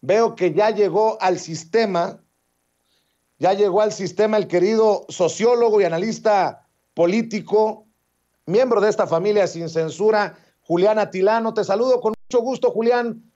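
A man's voice: high-pitched, normal speed, warm tone. A middle-aged man hosting a political talk show over video call, speaking sarcastically with frequent fillers. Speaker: host. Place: office with window blinds, indoors. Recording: dull lo-fi webcam audio.

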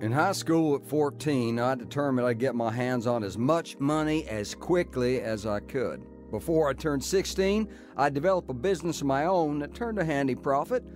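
A man's voice: medium pitch, moderate pace, hardly any pauses, a little mellow, soft background music, natural voice. A man playing a cocky young criminal in a radio drama, speaking in a brash, slangy way. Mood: cocky, confiding. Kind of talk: old-time radio drama acting.

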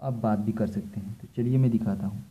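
A man's voice: fairly low pitch, steady tone, fairly quick, highs cut off. A man giving an informal hands-on tutorial as an electrician, explaining step by step and often repeating himself. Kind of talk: informal hands-on product demonstration. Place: indoors, filming equipment on the floor.

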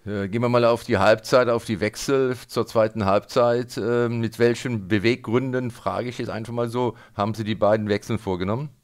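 A man's voice: medium pitch, steady tone, a little fast, few pauses. A man working as a sports radio interviewer, putting questions to a guest after a match.

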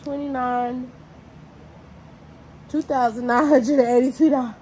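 A woman's voice: high voice, fairly even intonation, unhurried, a little mellow, a little hiss or hum. A young woman, chatting casually and bluntly to her vlog audience while tallying money aloud. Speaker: vlogger. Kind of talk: casual vlog talk to camera.

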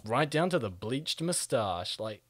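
A man's voice: mid-range voice, sing-song, measured pace, fairly bright tone. A man hosting a comic reading with casual, sarcastic comedic commentary. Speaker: host and comic reader. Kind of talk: casual comedic commentary and mock-dramatic narration. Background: music.

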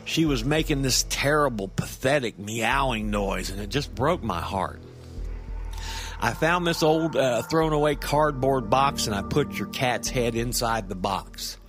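A man's voice: mid-range voice, animated, measured pace, fairly bright tone, soft background music. A man playing a rattled, indignant grandfather in a comic horror audio drama, talking conversationally, stumbling and checking that he is believed. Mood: amazed, uneasy. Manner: conversational, stammering, seeking agreement with tag questions. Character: rattled, indignant grandfather phoning in about a monster cat. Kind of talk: first-person horror-comedy monologue for audio drama.

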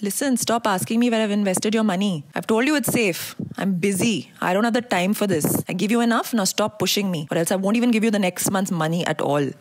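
A woman's voice: mid-range voice, brisk, hardly any pauses, lightly acted, low-quality recording. A woman with an Indian accent performing a dramatic monologue as a controlling, manipulative husband talking at an unheard wife. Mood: cold, dismissive. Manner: accusing, demanding, addressing an unheard wife. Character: controlling, belittling, manipulative husband, jealous and volatile.

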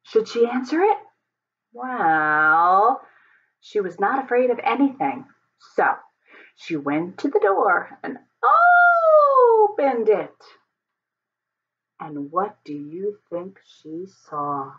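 A middle-aged woman reading a storybook aloud to children, with dramatic emphasis and deliberate pauses. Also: mid-range voice, wildly swooping pitch, dull audio. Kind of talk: expressive picture-book read-aloud. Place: home office, indoors.

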